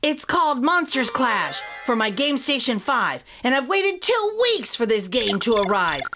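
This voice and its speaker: A boastful young storybook boy, voiced by a woman, talks with bossy enthusiasm. The voice is high and animated, with music underneath. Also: a little slow. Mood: eager, boastful. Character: eager boastful boy, a gamer neighbor. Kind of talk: children's storybook character voice.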